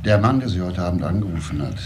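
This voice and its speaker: A methodical detective in a radio crime drama. His voice is very deep, his questioning formal and a little searching. Clear background noise surrounds him. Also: animated, fast. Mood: probing, sober. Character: probing, methodical male police detective.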